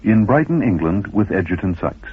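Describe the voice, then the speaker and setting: A man's voice: sits low, big pitch swings, normal speed, husky. A man working as a radio host, reading scripted introductions to interviews. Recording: muffled phone-like radio recording with noise.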